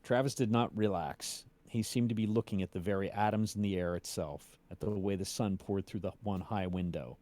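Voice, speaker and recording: A man reading aloud in a measured, suspenseful storytelling style. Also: on the low side, dark tone, no echo. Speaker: cast member reading a story aloud on a stream. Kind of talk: dramatic story reading, then casual chat.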